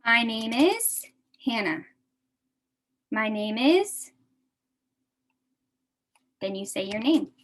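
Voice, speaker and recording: A young American woman teaching a beginner English class, speaking slowly and repeating each phrase for her adult learners. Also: a little high, big pitch swings.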